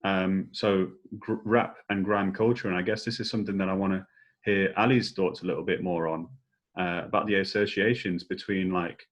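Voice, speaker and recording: A man moderates a discussion in a fairly low voice with very little rise and fall. His phrasing is unscripted, measured and reflective. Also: muffled recording.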